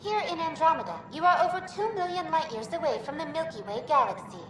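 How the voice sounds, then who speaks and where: A woman's voice: high voice, lively intonation, fast, background noise. A woman voicing a cheerful, helpful virtual intelligence in a game, giving polished, formal, scripted welcome announcements. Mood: upbeat, welcoming. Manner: polished, upbeat, formal customer-service delivery. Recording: echoey distant sound with music behind.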